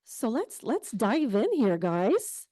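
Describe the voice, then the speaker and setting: A woman's voice: on the high side, sing-song, takes it slowly, dark tone, no room sound. A woman moderating a live panel on stage, speaking into a handheld mic. Her talk is chatty and encouraging as she invites guests to share their stories. Her voice sounds dry and close, with little room sound. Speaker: event host. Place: event hall with audience. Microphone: handheld microphone.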